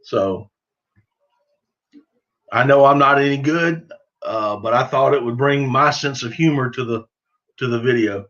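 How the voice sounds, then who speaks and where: A man's voice: mid-range voice, a little slow, pauses often, a bit bright, muffled recording. A middle-aged man with a Southern US accent chatting casually on a livestream, rambling in a folksy, self-deprecating way. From southern United States. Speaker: livestream host of a duck hunting channel. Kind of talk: casual livestream chat, answering viewers.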